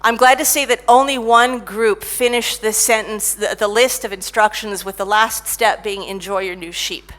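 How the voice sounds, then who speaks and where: A middle-aged woman giving a conference talk about technical writing, lively and self-mocking as she tells stories. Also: medium pitch, moderate pace, hardly any pauses. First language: English. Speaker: conference speaker, technical writer.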